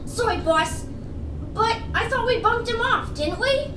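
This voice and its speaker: Comic radio henchman: extremely high, goofy, dim but eager, servile mobster slang; noisy recording. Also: animated, unhurried, bright, forceful tone, exaggerated voice. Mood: puzzled, eager. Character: dim-witted, eager gangster henchman, squeaky, apologetic. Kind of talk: comic radio theater acting. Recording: roomy, echoing, clearly noisy recording.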